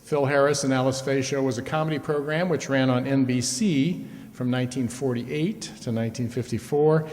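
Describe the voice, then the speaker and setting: A man's voice: mid-range voice, fairly even intonation, moderate pace, a little mellow. A man giving a scripted introduction from the stage as host of a radio players' show. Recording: reverberant hall, live stage.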